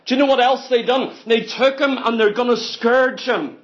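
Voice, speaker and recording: A man's voice: very high-pitched, normal speed. A man preaching an impassioned sermon, telling the story dramatically and pressing each point with emphatic repetition. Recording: dull, lo-fi sermon recording.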